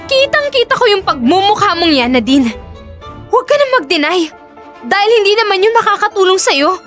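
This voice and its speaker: A woman performs a vengeful female blackmailer in an audio drama, in a very high voice. She taunts and threatens coldly, with lively swoops in her lines. Faint music sits behind her. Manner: taunting, menacing questions. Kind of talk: Filipino audio drama acting.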